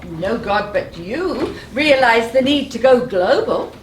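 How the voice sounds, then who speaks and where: An actress playing a polite, dutiful young goddess niece in a live stage play, courteous and measured. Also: female voice, on the high side, lively intonation. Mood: concerned. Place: live in a playhouse, far from the mic. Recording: distant, echoey stage with clear noise.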